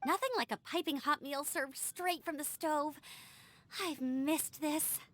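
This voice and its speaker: A woman voicing a sweet, gentle young woman in a video game, speaking earnestly and nostalgically. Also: sits high, a little slow. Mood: contented. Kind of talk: video game character acting.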